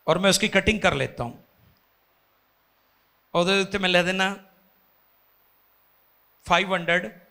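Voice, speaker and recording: An impassioned pastor in his forties preaches into a handheld mic on a church stage. His voice is high and bright, a gravelly edge, and he swells into loud, fervent peaks. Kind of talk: sermon. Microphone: handheld microphone.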